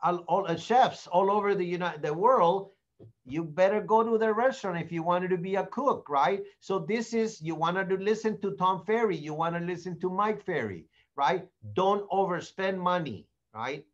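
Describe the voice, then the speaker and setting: A man with a Spanish accent, a native Spanish speaker, coaches real estate agents in a blunt, animated way and acts out sample sales dialogues. His voice sits high for a man. The recording sounds muffled and lo-fi. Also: moderate pace. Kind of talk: sales training talk.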